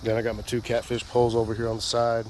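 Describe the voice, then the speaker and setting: A man's voice: medium pitch, completely flat delivery, fast, noisy background. Congested man talking kayak gear. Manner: rambling, folksy, many fillers. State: congested from a sinus infection. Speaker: fishing vlogger. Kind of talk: casual gear walkthrough.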